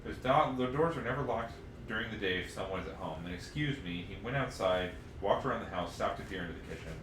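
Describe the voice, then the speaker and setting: A man with a lowish voice co-hosts a podcast in a loose, informal way, reading aloud and puzzling over details. The sound is echoey and has noticeable background noise, like a livestream from a room. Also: expressive, fast talker. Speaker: podcast co-host. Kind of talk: casual chat and reading story passages aloud. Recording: roomy, noisy livestream audio.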